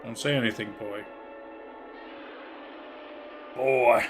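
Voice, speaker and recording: A man's voice: medium pitch, steady tone, moderate pace, music in the background. A man hosting a livestream, chatting casually and reacting with playful, joking asides. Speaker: livestream host.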